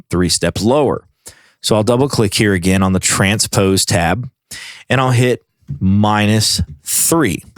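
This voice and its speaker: A man presenting a software tutorial in a casual, informal way, explaining things step by step. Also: fairly low pitch, clear, resonant tone, no echo, studio quality.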